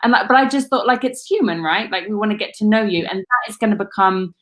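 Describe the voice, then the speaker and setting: A woman's voice: mid-range voice, fast talker. A British woman working as a casting director, talking candidly in a casual Q&A with many "like"s and restarts. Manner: candid, rambling, lots of "like". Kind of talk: casual Q&A chat.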